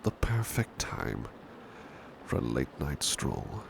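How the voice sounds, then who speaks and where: A British man playing a devoted, gentle butler in an ASMR roleplay, speaking softly and courteously with hushed, intimate delivery. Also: a bass voice, heavily acted. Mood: wistful, calm. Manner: formal, courteous, hushed and hesitant, with long pauses. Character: devoted, gentle, protective male butler of an estate. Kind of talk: ASMR roleplay, soft intimate speech slipping into whispers. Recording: clear steady rain-like background noise.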